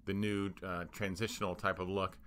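A man giving a halting, explanatory voice-over for a slide presentation, speaking as a manager laying out plans. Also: sits low, flat delivery, a bit bright, slight background noise.

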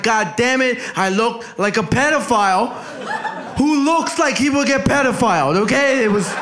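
A young man from El Salvador doing a brash, crowd-working stand-up set on a club stage, holding a handheld mic. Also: very high-pitched. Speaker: stand-up comic. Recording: echoey club with crowd noise.

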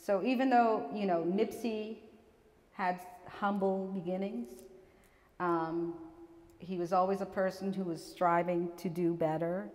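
A middle-aged woman from Guyana giving a relaxed, chatty talk about her portraits, laughing often and restarting her sentences. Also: mid-range voice, measured pace. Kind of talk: gallery artist talk. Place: small gallery room with seated audience, indoors. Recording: long reverb from the room.

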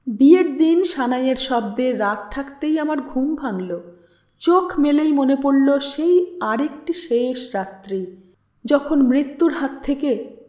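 A woman reading a literary story aloud as an audiobook narrator, in an expressive, dramatic way. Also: high-pitched. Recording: roomy echo on the voice.

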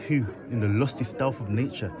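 An actor playing a defiant, resentful bastard son, declaiming indignantly in a Jacobean audio drama. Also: male voice, medium pitch, brisk, close to an everyday voice. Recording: busy crowd chatter behind.

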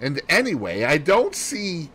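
A man voicing an earnest, easily nettled gentleman companion in an audiobook, puzzled and protesting. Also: fairly high pitch, huge pitch swings, a little slow, husky, voice pushed up, full character voice, poor audio. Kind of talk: audiobook character voice.

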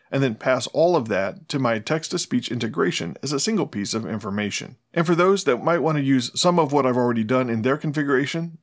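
A middle-aged man hosts a scripted tutorial. He explains things in a plain-spoken way, at a medium pitch. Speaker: host of a smart home tutorial channel.

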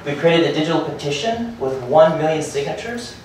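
Man talking fast in an echoey room. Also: fairly high pitch, lively intonation, a little mellow, recorded from a distance, slight background noise. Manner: hurried, filler-heavy, restarts and repeats words. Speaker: startup presenter. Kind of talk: conference talk. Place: meetup room with projector and seated audience.

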